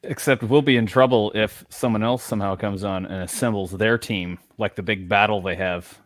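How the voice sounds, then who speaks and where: A man with a lowish voice talks in a laid-back, joking way and chuckles often. His voice sounds dry and close, with no room echo. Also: steady tone, moderate pace. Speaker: bandmate guest. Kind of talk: casual chat.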